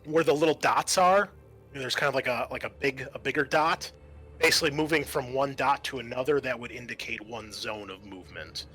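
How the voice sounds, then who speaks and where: A man's voice: mid-range voice, brisk, fairly bright tone, soft background music. A man acting as game master, explaining a scenario and thinking aloud as he recalls the rules.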